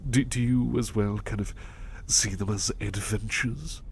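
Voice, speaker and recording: A man playing a low, gravelly, devoted butler. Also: fairly even intonation, unhurried, slight background noise. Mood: tender, hesitant. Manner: hesitant, gentle, courteous, stammering. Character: devoted, polite, history-loving male butler companion. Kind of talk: soft-spoken ASMR roleplay.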